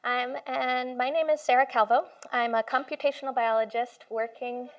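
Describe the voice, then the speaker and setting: A woman's voice: a little high, steady tone, normal speed, lo-fi sound. A woman computational biologist giving an informal lecture to a live audience in a room, speaking with enthusiasm and explaining with everyday comparisons. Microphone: handheld or stand mic, moved closer at one point.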